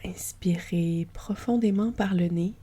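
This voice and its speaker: Female yoga nidra guide, very soft dark tone with a husky breathiness, soothing, slow-moving guided relaxation read. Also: lowish voice. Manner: gentle, soothing, unhurried. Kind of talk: guided sleep relaxation, meditation narration.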